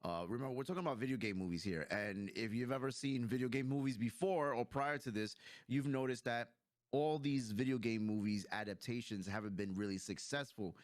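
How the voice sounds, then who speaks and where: A man's voice: mid-range voice, brisk, no room sound, clean studio sound. A man giving his opinions as a guest in a casual movie review chat, explaining his points as he goes.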